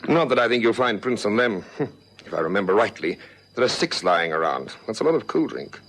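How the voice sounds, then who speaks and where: A senior detective in an old radio serial. His lowish voice moves with lively intonation, shrewd, measured and in command, over a noisy vintage recording. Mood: brisk, commanding. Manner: authoritative, thoughtful, measured. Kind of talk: 1960s-70s radio drama acting.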